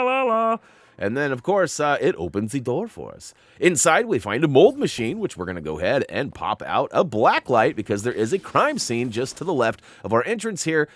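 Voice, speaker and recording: A man narrating a video game walkthrough in a chatty, upbeat way with playful asides. He sounds a bit stuffy and nasal from irritated sinuses. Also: mid-range voice. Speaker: gaming YouTuber.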